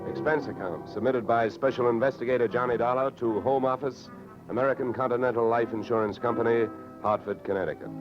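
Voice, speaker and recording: An actor playing a wry, unflappable insurance investigator in a clipped, matter-of-fact 1940s radio detective style. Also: male voice, mid-range voice, steady tone, brisk, natural voice. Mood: dry narration. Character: hard-boiled male freelance insurance investigator, wry, brisk, unflappable. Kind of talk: 1940s radio detective acting and first-person narration. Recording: dull vintage radio recording with music.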